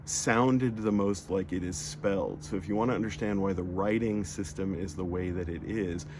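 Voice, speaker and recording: A man teaching a lesson, patiently explaining sounds and drawing out each one slowly as he demonstrates it. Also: on the low side, background noise. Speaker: language teacher.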